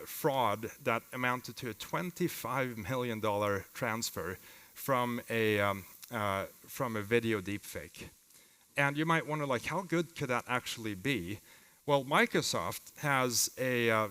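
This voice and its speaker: A man with a Swedish accent giving an informal, halting conference presentation as a company founder. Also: medium pitch, pushed and bright, smooth. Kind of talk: live conference talk with demos. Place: conference hall with long reverb. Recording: reverberant hall sound.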